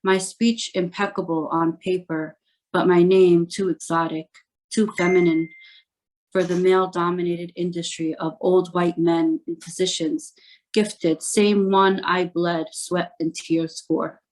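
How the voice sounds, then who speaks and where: A woman reading her own spoken word poetry in a lowish voice. She keeps her pitch quite steady and flat instead of letting it swing. She sets each short phrase down in a measured, deliberate way. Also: rough recording. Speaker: poet.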